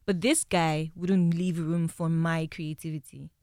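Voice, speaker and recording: A woman's voice: low voice, fairly even intonation, a little slow, a little mellow, no room sound, studio quality. A young Nigerian woman singer with a Nigerian accent, talking candidly and reflectively in an interview, often laughing. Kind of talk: interview talk.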